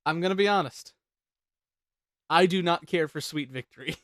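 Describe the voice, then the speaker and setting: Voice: medium pitch, normal speed, dry recording. A host leading a casual group chat that ranks songs, joking and swearing freely.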